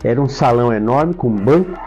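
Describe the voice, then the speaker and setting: A man's voice: medium pitch, lively intonation, fast talker, a little mellow, faint music behind. An older man with a Brazilian Portuguese accent, an interview guest telling and explaining memories in a casual way. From São Paulo, Brazil. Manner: explanatory storytelling. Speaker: interview guest, professor and author.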